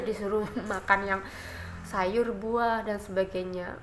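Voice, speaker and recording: A woman's voice: mid-range voice, fairly even intonation, slow pace. A woman talks casually to her viewers from home, her voice stuffy and congested from a cold. She rambles along with lots of filler sounds. The room is echoey, with faint ambient noise underneath. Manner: rambling, chatty, many fillers. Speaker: vlogger.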